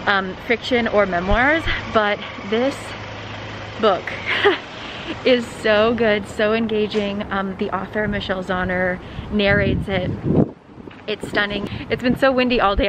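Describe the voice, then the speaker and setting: A young adult woman chatting casually to camera in an animated, informal, digressive way as she vlogs. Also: medium pitch, measured pace. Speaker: vlogger and host. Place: outdoors on windy city streets. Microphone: handheld camera at arm's length. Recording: wind and street traffic noise.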